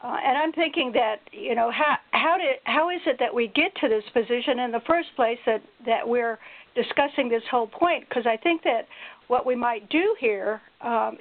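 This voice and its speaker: A woman senator deliberating aloud in a committee call, halting as she searches for words. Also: fairly high pitch. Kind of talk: informal deliberation in a meeting. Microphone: telephone. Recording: tinny conference phone line.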